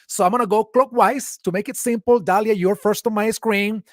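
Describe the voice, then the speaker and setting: A man from Venezuela hosts an online panel in a relaxed, chatty way and laughs easily. He is heard through a video call mic. The sound is dry, with no room around it. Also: high-pitched, a little fast, no echo. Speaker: panel moderator. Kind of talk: casual webinar hosting.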